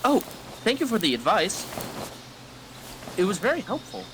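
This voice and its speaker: A man playing high-pitched, earnest boy squire. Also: wildly swooping pitch, moderate pace, slight background noise, full character voice, rough recording. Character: earnest, blunt boy squire raised on combat manuals. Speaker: young squire. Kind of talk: fan dub character acting. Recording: loud music under the voice.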